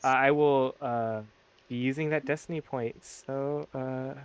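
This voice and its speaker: A man's voice: mid-range voice, fairly even intonation, measured pace, smooth, dry recording. A man playing a tabletop roleplaying game, chatting informally and correcting himself as he explains his character's actions. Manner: informal, self-correcting, swearing. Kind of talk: casual game chat.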